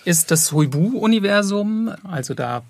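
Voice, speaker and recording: A man's voice: high-pitched, animated, measured pace, dry recording. A man speaking casually as an audio drama producer and composer being interviewed.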